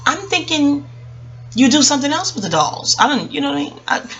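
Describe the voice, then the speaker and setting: A woman speaking casually and reflectively about her own experience, rambling with emphatic pauses and frequent self-corrections. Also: high voice, big pitch swings, a bit bright, lo-fi sound, faint music behind. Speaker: vlogger. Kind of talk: casual reflective personal storytelling.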